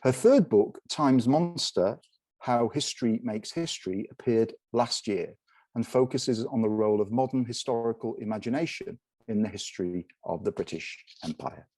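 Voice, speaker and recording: A man hosting an event who formally introduces a guest lecturer, slowing down to read out titles. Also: medium pitch, a little mellow, no room sound. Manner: measured, slows on titles.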